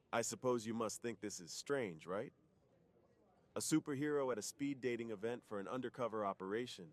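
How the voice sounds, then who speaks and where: A man acts as a secretive superhero off duty, with a mid-pitched voice. He is polite and earnest, confiding shyly and intimately in an ASMR roleplay. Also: natural voice.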